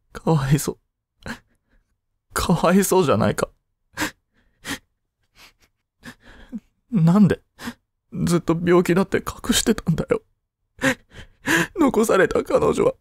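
A man playing an aloof young boyfriend in an intimate voice drama, grumpy but soft-hearted, speaking haltingly close to the mic. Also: sits high, big pitch swings, husky, no room sound, full character voice. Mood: tearful, flustered. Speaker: amateur voice drama creator and actor.

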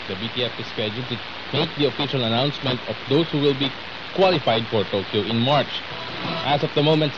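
A man with a Filipino accent reading sports news as a radio anchor. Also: medium pitch. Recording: dull AM radio reception, loud static. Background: loud radio static.

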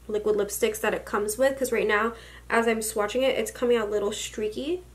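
A young woman in her twenties chatting about makeup, gushing and chatty, full of likes and excited exclamations, filmed in a small room at her vanity. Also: fairly high pitch. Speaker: beauty vlogger. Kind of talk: casual makeup haul chat. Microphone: camera at desk distance.

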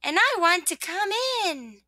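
Storybook sun, played by a woman: tiny, cheerful, eager, soft-spoken, high and singsong. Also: animated, a little slow, no echo, exaggerated voice. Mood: cheery pleading. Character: cheery, sweet little sun eager to come in. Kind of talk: children's storybook character voice.

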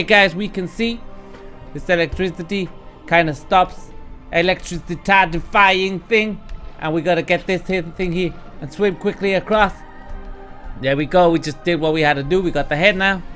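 A man's voice: on the high side, a bit bright. A man in his thirties giving casual, swearing gameplay commentary to viewers from a room at home. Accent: put-on Texas drawl. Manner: swears freely, talks to viewers, bursts of frustration. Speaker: gameplay streamer. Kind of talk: casual let's play commentary. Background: game music.

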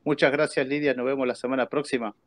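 A man's voice: medium pitch, fairly even intonation, quick pace, a crowd in the background. A middle-aged man with an Argentine accent, hosting casually on a video call. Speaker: radio show host. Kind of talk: casual chat.

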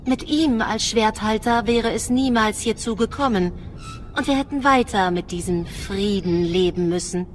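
A woman's voice: medium pitch, moderate pace, a little hiss or hum, close to an everyday voice. A woman playing a polite, menacing alien android envoy in a radio drama, formal and condescending. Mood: cool, formal.

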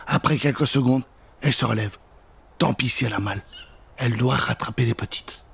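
A man's voice: mid-range voice, a little fast, creaky, a bit bright, breathy edge. A man in his thirties telling a children's Halloween story in a dramatic, suspenseful way at a studio microphone. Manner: theatrical, suspenseful. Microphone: studio microphone with pop filter.

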